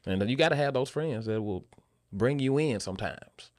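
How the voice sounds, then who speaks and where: Guy on a podcast, mid pitch voice, casual animated storyteller, says stuff twice to make a point. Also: normal speed. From Memphis, USA. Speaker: podcast guest, actor and stage playwright. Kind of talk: casual conversational interview talk.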